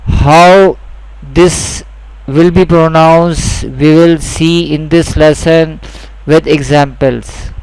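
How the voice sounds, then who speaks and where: Slow, clear-voiced male teacher. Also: fairly high pitch, fairly even intonation, a little mellow, low-quality recording. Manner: deliberate, repeats each point twice. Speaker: Quran teacher. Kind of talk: slow, repetitive instructional lesson.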